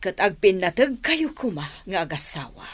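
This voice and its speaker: A woman's voice: lowish voice, big pitch swings, normal speed. An actress playing a caring, approving mother of a bridegroom in a radio drama. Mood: caring, pleased. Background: faint music.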